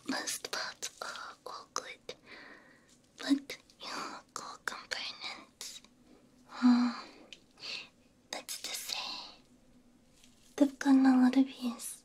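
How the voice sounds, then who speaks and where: A woman whispering a soothing ASMR roleplay, breathy and unhurried, very close to a binaural microphone. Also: sits high. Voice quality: breathy, mostly unvoiced whisper. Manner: soft, soothing, unhurried with gentle murmurs. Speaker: ASMR VTuber playing a robot repairer. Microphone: close binaural ear microphone.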